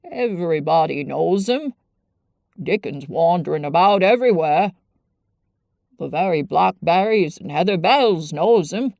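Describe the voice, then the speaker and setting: A woman voicing a sour, blunt old Yorkshire gardener with slow, grumbling dialect speech. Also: fairly low pitch, expressive, creaky, a little mellow, no room sound. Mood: gruff.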